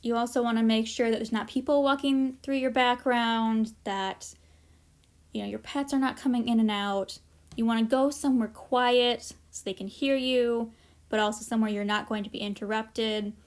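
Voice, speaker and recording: High-voiced woman giving an informal workshop. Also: fairly even intonation, normal speed. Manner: conversational, practical explaining with casual asides. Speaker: library workshop presenter. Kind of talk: informal instructional presentation.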